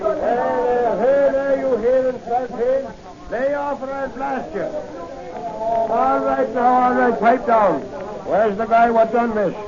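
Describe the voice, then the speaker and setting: A tough, blustery cop from a 1940 radio serial. He speaks high, in rough street slang. The recording is muffled and old. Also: steady tone, slow pace, a loud crowd. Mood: blustering, demanding. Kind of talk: 1940s radio acting.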